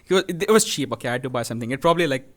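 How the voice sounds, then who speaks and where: A man's voice: mid-range voice, animated, very fast. A young man co-hosting a podcast in casual banter, slangy, profane and jokey. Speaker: podcast co-host.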